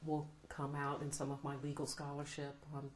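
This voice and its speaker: Middle-aged American woman, low voice, thoughtful interviewee; roomy echo, light hiss. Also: monotone. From United States. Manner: thoughtful, measured, laughs often. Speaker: law professor, interviewee. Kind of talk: reflective interview answers. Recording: roomy echo, faint noise.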